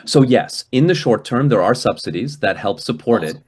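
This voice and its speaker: A man's voice: medium pitch, lively intonation, moderate pace, husky. A man from Hungary giving a guest lecture and answering questions over a video call, conversational, with frequent restarts and a habit of tagging "right?" onto his points. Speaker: guest speaker.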